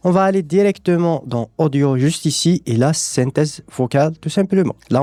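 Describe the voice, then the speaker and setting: A man presenting a software tutorial, explaining things step by step. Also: on the high side, no room sound.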